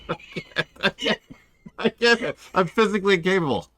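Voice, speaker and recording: A man in his forties chatting casually on a game stream, joking in a dry, deadpan way. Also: sits high, a little slow, no room sound. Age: 40s.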